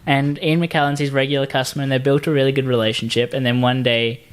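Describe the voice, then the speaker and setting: A man with a mid-pitched voice talks as a podcast co-host. He improvises casually, halting often and pausing as he feels for the next phrase. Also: little pitch movement, clean tone.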